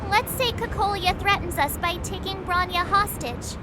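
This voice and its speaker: Very high, perky girl adventurer. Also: moderate pace, background noise. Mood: curious, musing. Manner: chatty, wondering aloud. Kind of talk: video game voice acting. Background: music.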